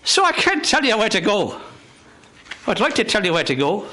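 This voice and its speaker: A genial, rambling Irish farmer in a radio play. His voice is high and bright and swoops about wildly as he chats and teases in folksy fashion. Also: very animated, moderate pace, heavily acted. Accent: stage Irish. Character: chatty genial country farmer on a horse cart. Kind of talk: live radio theatre. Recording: hissy live radio studio recording.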